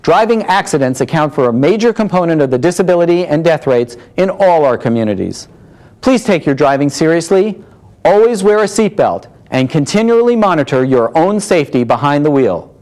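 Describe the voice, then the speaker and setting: A man with a somewhat high voice speaks as a doctor. He delivers prepared advice to listeners as a short scripted broadcast segment. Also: animated, fairly quick, a little mellow. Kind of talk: scripted health advice narration.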